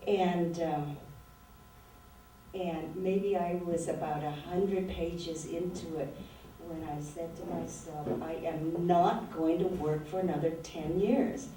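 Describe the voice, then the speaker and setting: A woman in her sixties, an author reading her poetry aloud and talking about it in a slow, measured, reflective way. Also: lowish voice, fairly even intonation, background noise. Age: 60s. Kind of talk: poetry reading with talk between poems. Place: lecture room at a literary event. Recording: very echoey room, voice distant from mic.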